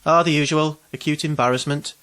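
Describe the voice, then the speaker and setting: A man's voice: on the high side, steady tone, fairly quick, fairly bright tone, slight background noise, natural voice. An actor playing an anxious, self-pitying man in his twenties, hesitant and rambling, in an audio drama. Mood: wry, downcast. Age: 20s.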